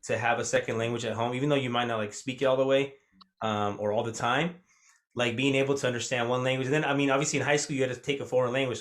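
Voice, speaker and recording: A man hosting a relaxed podcast conversation, rattling along at a brisk clip. He grew up speaking Spanish first and now teaches it. His questions wander with plenty of fillers and restarts. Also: medium pitch. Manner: rambling, many fillers and restarts. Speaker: podcast host. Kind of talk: casual interview chat.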